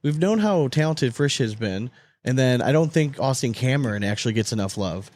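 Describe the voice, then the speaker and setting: A man's voice: medium pitch, expressive, fairly quick, a little mellow, dry recording. A man co-hosting a sports podcast in a casual, slangy, sometimes profane way, telling stories with animation. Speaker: podcast co-host.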